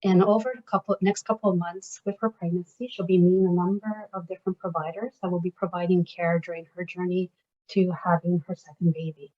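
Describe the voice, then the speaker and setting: A woman getting over a cold, slightly raspy, presenting slides at a workshop in a steady, explanatory way. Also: lowish voice, fairly quick, muffled recording. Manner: steady, explanatory, walks through a scenario.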